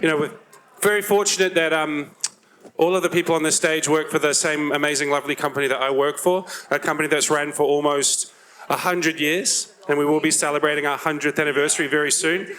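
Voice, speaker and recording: A man's voice: fairly high pitch, fairly even intonation, a little fast, a bit bright. A man introducing a panel at a live event, building his sentences as he goes.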